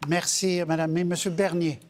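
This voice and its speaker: A man moderating a televised debate, introducing speakers briskly and formally. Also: a little high, level tone.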